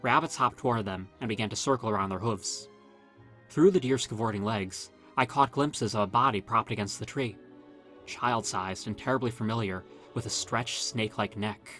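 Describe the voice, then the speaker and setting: A man reading a scripted first-person horror story as narrator, in a dramatic, measured storytelling manner. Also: fairly low pitch, fairly bright tone, soft background music.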